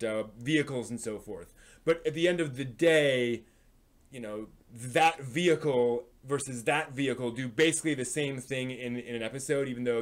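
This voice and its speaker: A man hosting a live stream, talking in a casual, analytical way and often halting as he thinks aloud. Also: medium pitch. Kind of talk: casual rambling live commentary on anime and comics.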